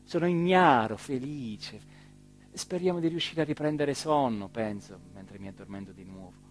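An actor playing a weary, brooding village man in a theatrical monologue, speaking solemnly and deliberately with dramatic pauses. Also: mid-range voice, animated, slight background noise, lightly acted. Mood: hushed, intimate. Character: weary, brooding village man, mayoral candidate addressing voters. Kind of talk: theatrical monologue, literary narration.

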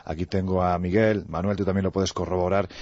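Male radio talker from Andalusia, animated and jokey, quick-paced, dry lo-fi broadcast sound. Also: deep voice, no room sound. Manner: animated, jokey, frequent fillers. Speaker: radio contributor on mysteries. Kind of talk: lively radio chat and storytelling.

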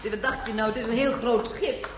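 A woman's voice: on the high side, steady tone, brisk, natural voice. An actress playing a caring, motherly woman coaxing a young boy in a radio play. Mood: patient. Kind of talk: Dutch radio play acting. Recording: roomy, noticeable noise and clicks.

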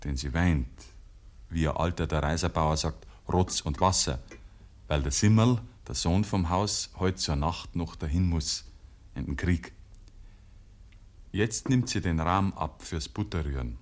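An actor as a homely, wry village storyteller, narrating a 1950s radio play with a Bavarian accent. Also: male voice, low voice, a little slow. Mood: unhurried, descriptive.

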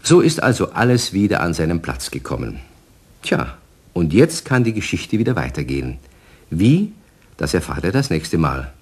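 A man narrating a children's radio story in a husky voice, with an expressive, unhurried storytelling manner. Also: deep voice, exaggerated voice.

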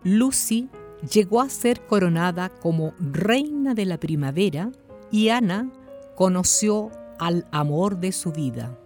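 Woman narrating a radio play, really slow, bit low, music under her. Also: animated, darkish tone. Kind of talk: scripted radio drama narration.

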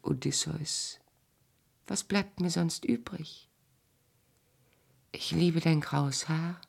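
An aging wife from Greek legend in a radio play, speaking in a lowish voice with a marked creak. She takes her time, sounding bitter, bossy and tender by turns. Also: darkish tone, exaggerated voice. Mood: weary tenderness. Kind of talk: German radio drama acting.

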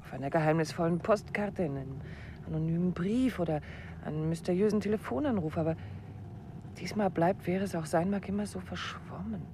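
Actress playing a sharp, probing wife in a vintage radio play, lowish voice, faint hiss. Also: female voice, fairly quick, natural voice. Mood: thoughtful. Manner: probing, gently reproachful. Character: Steve, the detective's quick-witted wife. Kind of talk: 1950s radio play acting.

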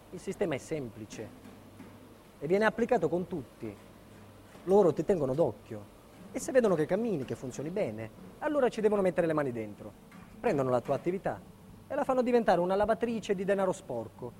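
A man's voice: on the high side, a little mellow, a little hiss or hum. A man playing a defiant, indignant building contractor, delivering an emphatic theatrical monologue about his ordeal. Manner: emphatic, dramatic storytelling. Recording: reverberant hall with long echo.

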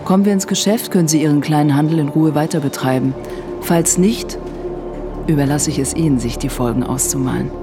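An actress playing a powerful, calculating woman who speaks formally and smoothly in a radio drama. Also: low-pitched, a little fast. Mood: coolly menacing. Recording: music behind the voice. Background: music.

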